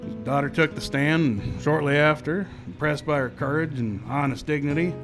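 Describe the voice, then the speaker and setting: A man's voice: fairly high pitch, normal speed, over music, lightly acted, rough recording. A man playing a plainspoken, kindly, sombre Old West marshal in a live radio western. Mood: reflective narration.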